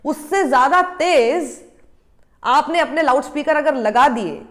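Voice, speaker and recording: High-voiced woman ranting through a news opinion piece, irritated, asks the viewers stuff. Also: expressive, measured pace. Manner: argumentative, exasperated, mixing Hindi with English phrases. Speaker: news commentator. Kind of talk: opinion news commentary to camera.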